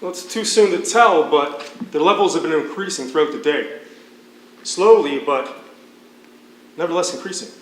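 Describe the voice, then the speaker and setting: A man plays a hospital doctor in a stage drama, speaking plainly with wry sympathy. His voice is fairly high and comes echoing from some distance, with faint noise. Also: moderate pace, pauses often, close to an everyday voice. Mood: intrigued. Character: matter-of-fact male hospital doctor, wryly sympathetic. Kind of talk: naturalistic stage dialogue.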